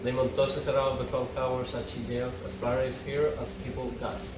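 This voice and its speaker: A man reading a script and its stage directions aloud as an amateur table read host, in a halting, loosely improvised way. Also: medium pitch, little pitch movement, noisy background. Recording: echoey room, distant mic, music behind.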